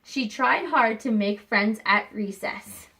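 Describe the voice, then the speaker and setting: A woman teacher reading a picture book aloud to young students, expressive and pausing to think aloud with questions for them. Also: on the high side. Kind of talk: read-aloud lesson with questions to children.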